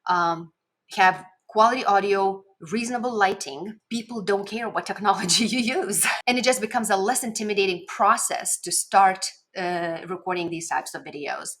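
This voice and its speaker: A woman's voice: medium pitch, normal speed, a bit bright. A woman with a Lithuanian accent talks conversationally to camera as a video coach, giving encouraging tips and stressing key words. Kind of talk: casual instructional vlog to camera. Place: home office, indoors. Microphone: desk microphone at a webcam setup.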